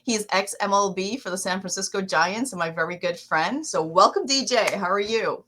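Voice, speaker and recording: A woman's voice: medium pitch, moderate pace, bright, forceful tone, a little hiss or hum. A woman hosting a podcast interview, who introduces and prompts her guest in a chatty, upbeat way. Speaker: podcast host.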